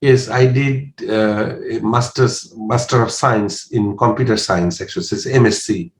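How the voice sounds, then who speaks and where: Roomy-sounding low-voiced man in his sixties. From Bangladesh. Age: 60s. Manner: measured, hesitant with frequent uhs. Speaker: interviewee. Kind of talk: casual interview answers. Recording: roomy echo.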